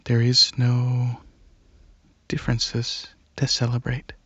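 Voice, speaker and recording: Soft, husky, hesitant alien dragon played by a man. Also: medium pitch, monotone, dry recording, dull audio. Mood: reflective. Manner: hesitant, halting, softly earnest. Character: shy, gentle alien dragon host, curious about Earth. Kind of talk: ASMR roleplay, soft rambling monologue.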